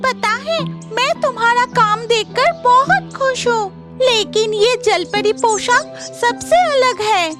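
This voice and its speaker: A woman voicing a sweet, excited young female cartoon bird, gushing and childlike, with loud music behind. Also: extremely high pitch, slow. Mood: amazed, pleased. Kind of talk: cartoon character acting.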